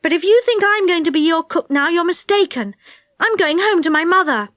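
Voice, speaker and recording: Woman doing a headstrong girl, firm, higher than her own voice. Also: expressive, brisk, a bit bright, dry recording, studio quality. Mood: indignant.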